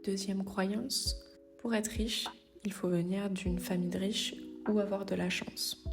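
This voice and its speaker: Female podcast host, mid-pitch, coaching tone, talks straight to listener, music bed underneath. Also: fairly even intonation, measured pace, creaky. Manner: direct, addresses listener informally, occasional hesitations. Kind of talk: motivational solo podcast talk.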